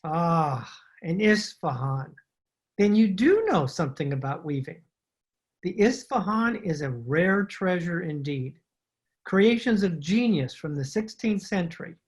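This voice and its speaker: Woman as a husky, obsequious male rug merchant. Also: on the low side. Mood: admiring. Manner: formal, humble, ingratiating salesman patter. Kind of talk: old-time radio drama acting.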